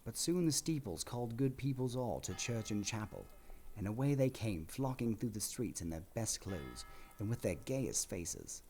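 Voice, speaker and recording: A man narrating a dramatic story from a script in an expressive, theatrical manner. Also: lowish voice, moderate pace, soft background music.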